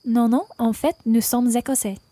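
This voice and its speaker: A woman's voice: fairly high pitch, steady tone, normal speed, warm tone, dry recording, natural voice. A woman playing an assertive, teasing wife on holiday in a radio play for language learners. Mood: friendly. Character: assertive Scottish wife on holiday, bickering over directions.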